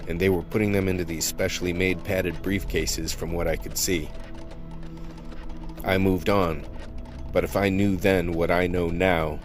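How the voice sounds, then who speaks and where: A man's voice: low-pitched, noisy background. An actor playing a streetwise undercover vice cop, telling his story in hard-boiled, colloquial radio play narration. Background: prominent music.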